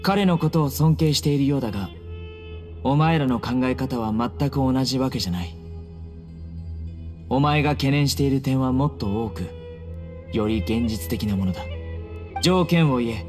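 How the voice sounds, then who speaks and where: An actor playing a stern, stoic young male warrior in a Japanese anime game, terse, serious and deliberate. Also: mid-range voice, music in the background, lightly acted. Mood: resolute.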